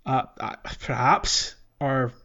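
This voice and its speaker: A man's voice: medium pitch, flat delivery, slow, sharp tone. Man chatting casually, slightly muffled. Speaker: podcast co-host. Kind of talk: casual chat about a video game.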